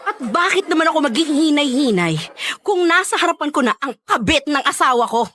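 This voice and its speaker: A woman's voice: sits high, expressive, brisk, fairly bright tone. A Filipino woman acting in a radio drama as a wronged, haughty wife, indignant, biting and confrontational. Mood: furious, scornful.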